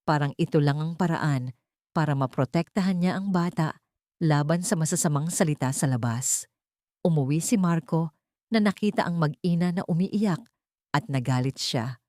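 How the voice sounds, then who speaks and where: A woman's voice: deep voice, darkish tone, no room sound, clean studio sound. A woman with a Filipino accent narrating a scripted dramatic story as an audiobook narrator.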